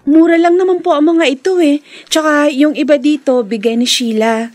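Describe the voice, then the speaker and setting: An actress playing a dutiful young daughter weighed down by shame, tender and earnest, in Filipino radio drama style. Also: female voice, sits high, fairly even intonation, normal speed, a little mellow, lightly acted. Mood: tender, caring. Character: young woman, dutiful loving daughter, weary bar worker burdened by shame.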